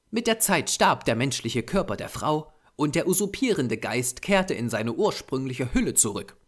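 A solemn, ominous man of dark lore as a male audiobook reader acts him out. He speaks in a measured but insistent way, with urgent warning in his tone. His mid-pitched voice rises and falls widely. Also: lightly acted.

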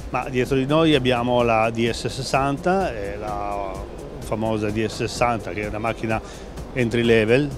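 A man's voice: mid-range voice, fairly even intonation, normal speed. A middle-aged man being interviewed at an exhibition stand, talking with many fillers and restarts. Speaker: company president interviewed. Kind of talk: trade fair interview. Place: busy exhibition hall stand. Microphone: handheld interviewer mic. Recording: background music under voice.

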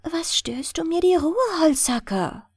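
A strange water nixie from a fairy tale, as a woman reader puts her on. The voice is high, light and airy. She speaks softly and coaxingly, with a mysterious lilt in a dry, close recording. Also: animated, measured pace, no room sound. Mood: curious, reproachful.

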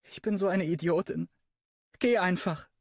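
Audiobook read: male narrator doing a tearful, proud young woman, raised pitch, louder and pleading. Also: normal speed, no echo, clean studio sound. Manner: pleading, emotional.

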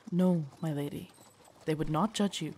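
A woman playing a loyal, gentle royal knight in an audio roleplay, formal, deferential and soothing in her measured speech. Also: deep voice, husky. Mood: calm, reassuring. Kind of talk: immersive audio roleplay acting.

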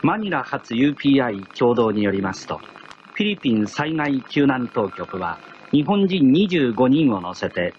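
Male news announcer, formal bulletin style with expressive intonation, dull old broadcast with faint noise. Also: medium pitch. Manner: measured, formal.

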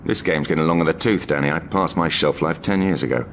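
An actor playing a jaded, middle-aged secret agent in a video game, dry, blunt and weary. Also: male voice, very deep voice, quick pace, vocal fry, a bit bright, slight background noise, heavily acted. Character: jaded, hard-bargaining veteran male secret agent. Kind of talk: video game cutscene acting.

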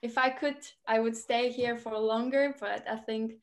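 A woman's voice: on the high side, fairly even intonation, normal speed. A woman in her twenties with a Lithuanian accent, speaking informally as a student panellist on a video call. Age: 20s. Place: indoor room at home, video call.

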